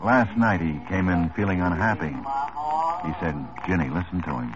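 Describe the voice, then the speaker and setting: An actor playing a calm, dogged male police detective in a 1950s radio drama, speaking in a terse, even, understated way. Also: low-pitched, normal speed, close to an everyday voice. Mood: probing. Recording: muffled old radio recording.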